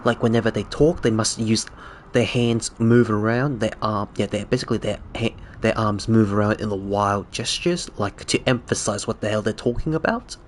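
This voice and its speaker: Low-voiced man chatting casually on stream. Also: moderate pace, a little hiss or hum. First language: Cantonese. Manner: rambling, self-correcting, chuckling. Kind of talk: casual live-stream chat.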